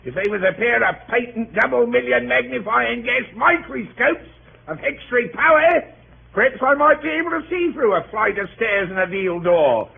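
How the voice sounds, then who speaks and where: An actor playing a cheeky, unflappable Cockney manservant in a 1930s radio drama, droll, wordy and mock-respectful. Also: male voice, very high voice, animated, normal speed, vocal fry, heavily acted. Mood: droll, unruffled. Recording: tinny, noisy 1930s radio broadcast.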